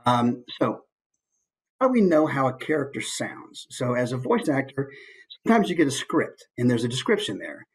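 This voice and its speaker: A man giving an informal online presentation in an easygoing, conversational way, with hesitant pauses as he goes. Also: medium pitch, sing-song, husky, poor audio. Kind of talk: informal online talk with slides. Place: online video call.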